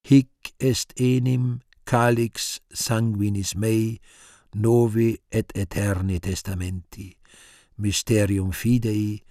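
A man playing a solemn priest in a radio play, intoning the Mass in slow, measured phrases. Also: on the low side, steady tone, warm tone, no room sound, studio quality. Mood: solemn, ritual. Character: solemn male priest celebrating Latin Mass.

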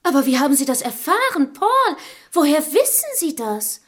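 An actress playing the inquisitive, observant wife of a gentleman detective in a German radio crime mystery. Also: high voice, big pitch swings, moderate pace. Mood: curious, astonished. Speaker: radio play actor.

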